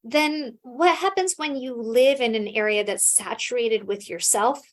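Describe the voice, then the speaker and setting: A woman's voice: a little high, a little slow. A woman from the United States, a scholar guest in an interview, explaining thoughtfully with frequent fillers and restarts. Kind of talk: casual interview answers.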